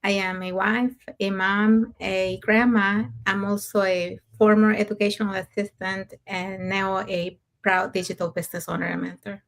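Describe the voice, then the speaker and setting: Older woman panelist from Mexico, clear announcer-style delivery. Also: mid-range voice, little pitch movement, normal speed, hardly any pauses, low-quality recording.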